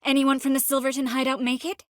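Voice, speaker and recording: An actress playing a determined, warm young sorceress in a fantasy video game, speaking earnestly and expressively with a gently teasing manner. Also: female voice, sits high, completely flat delivery, quick pace, no room sound, clean studio sound, close to an everyday voice. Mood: concerned, questioning. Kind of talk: video game dialogue acting.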